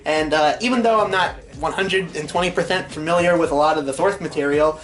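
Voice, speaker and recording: A man's voice: on the high side, a little fast, fairly bright tone, low-quality recording. A man reading fan fiction aloud and commenting on it as the show's host.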